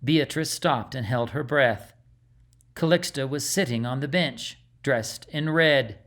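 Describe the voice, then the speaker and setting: A man narrating a fantasy storybook in a measured, dramatic way. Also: medium pitch, fairly bright tone. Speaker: audiobook narrator.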